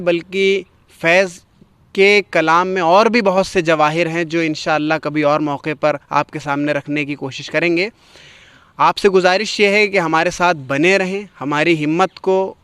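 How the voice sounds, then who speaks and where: A man with a clear, resonant voice on the higher side presents literary commentary. His diction is formal and eloquent, with an orator's delivery. The sound is close and dry. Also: fairly bright tone, dry recording. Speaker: host of a poetry programme. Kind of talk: literary commentary, explaining a poem.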